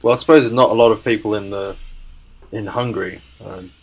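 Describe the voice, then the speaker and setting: A man speaking on a podcast with a low, husky voice. His remarks are dry and understated. He talks in a relaxed, conversational way. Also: brisk, muffled recording. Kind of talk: casual podcast discussion.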